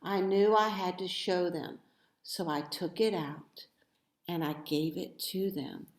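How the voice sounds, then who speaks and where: Slow-paced woman reading a children's story. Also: on the low side, fairly even intonation. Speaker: storybook reader. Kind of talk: expressive scripted children's story narration. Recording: echoey room.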